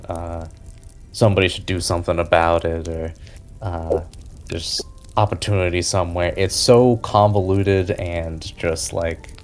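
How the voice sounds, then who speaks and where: A man narrating a tabletop role-playing game as game master, improvising the scene for a player. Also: deep voice. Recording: music behind the voice.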